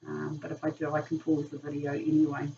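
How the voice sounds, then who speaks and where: A woman in her sixties, croaky from a virus, giving a rambling casual tarot reading to camera with many ums. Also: low voice, monotone, fast talker, mellow. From New Zealand. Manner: rambling, many ums and "sort of type thing". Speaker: tarot reader. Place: small room, indoors. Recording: dull distant camera mic with faint hiss.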